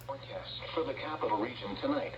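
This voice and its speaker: A man's voice: mid-range voice, quick pace, muffled recording, slight background noise. A man's synthetic announcer voice reading a scripted forecast bulletin through a radio speaker. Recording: radio playback in echoey room.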